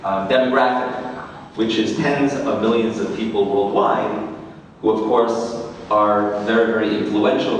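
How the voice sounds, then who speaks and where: A man with a Queens, New York accent introducing speakers at a community event as a rabbi, in somewhat halting, repetitive phrasing. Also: on the low side. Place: large reverberant room, community event. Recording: very echoey hall, distant mic, faint noise.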